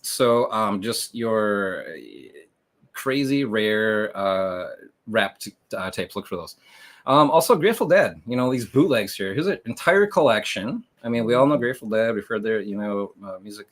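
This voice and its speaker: A man hosting a livestream, explaining things casually in a meandering way with lots of hesitant filler pauses. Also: on the low side, animated, fairly bright tone. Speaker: livestream host and reseller.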